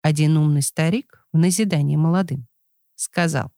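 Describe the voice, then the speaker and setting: A woman reading a scripted folk tale as an expressive storyteller. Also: sits low, moderate pace, no room sound, studio quality.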